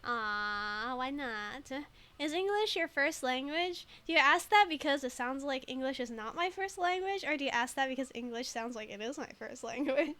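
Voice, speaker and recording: A woman with a high voice streams a game in a casual, rambling way. Her accent is American with a very faint foreign tinge from native Mandarin, and her delivery is hesitant and giggly. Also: lively intonation, normal speed, sharp tone. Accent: American with a very slight foreign tinge. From United States, moved there very young. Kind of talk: casual live game commentary.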